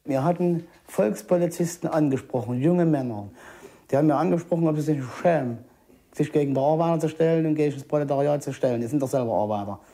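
Male, rapid delivery, dark soft timbre, emphatic plain-spoken recollection. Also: a little high. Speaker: eyewitness interviewee.

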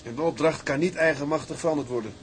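An actor playing a resolute, thoughtful tugboat captain of the early 1900s in an audio drama, deliberate and commanding. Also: male voice, a little high, slight background noise, natural voice. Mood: musing, measured. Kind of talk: Dutch audio drama acting.

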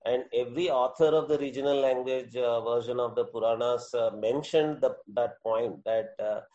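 A man with an Indian accent interviewing in a formal, scholarly way, halting and deliberate with many hesitations. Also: medium pitch, fairly even intonation, mellow, highs cut off.

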